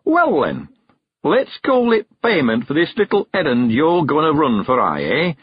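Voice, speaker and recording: A man's voice: wildly swooping pitch, breathy edge, no room sound, muffled recording, exaggerated voice. A man reading an audiobook, voicing a cheerful, stubborn ninety-one-year-old countryman with a rustic dialect. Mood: chatty. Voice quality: rustic dialect, quavery and high, drawn-out words.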